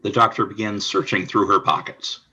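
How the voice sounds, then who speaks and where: A man's voice: on the low side, fairly even intonation, moderate pace, bright, forceful tone, breathy edge, lo-fi sound. A man narrating the stage directions of a radio play in a plain, matter-of-fact way.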